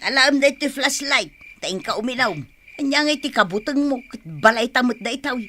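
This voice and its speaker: A woman's voice: sits high, expressive, brisk, pushed and bright, a little hiss or hum. A woman playing a feisty, practical, scolding wife with an Ilocano accent in a radio comedy drama, nagging and exclamatory. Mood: irritated, scolding. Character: feisty, practical wife fond of secondhand bargains, scolding her husband.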